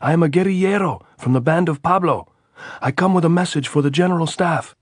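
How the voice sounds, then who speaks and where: A man voicing a desperate young male guerrilla who shouts and pleads up at a guarded trench. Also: fairly high pitch, a little fast, dry recording, clean studio sound, voice pushed up, natural voice. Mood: frantic shouting.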